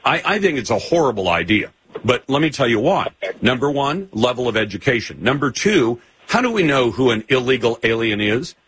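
A man's voice: mid-range voice, big pitch swings, brisk. Male radio host, combative call-in style, faint background hiss. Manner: forceful, interrupts and presses the caller with questions. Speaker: radio talk show host.

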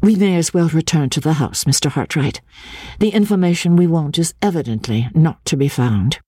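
A storybook reader playing a brisk, sharp-witted young gentlewoman with an emphatic, mocking manner. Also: medium pitch, slightly rough, no echo, studio quality, pitched above the performer's own voice, close to an everyday voice. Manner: emphatic, scornful questioning. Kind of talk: audiobook character dialogue.

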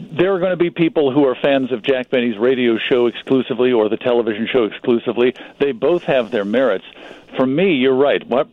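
A man's voice: mid-range voice, no room sound. A man speaking as a radio guest, giving thoughtful conversational commentary from a remote line. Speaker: guest, magazine publisher and broadcaster. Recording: narrow phone-like line.

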